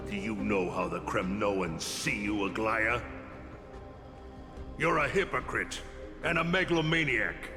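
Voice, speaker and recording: An actor playing an embittered, defiant old warrior teacher in a video game, gravelly and accusing in measured dramatic lines. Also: male voice, on the low side, lightly acted. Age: older. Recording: long hall reverb under loud music.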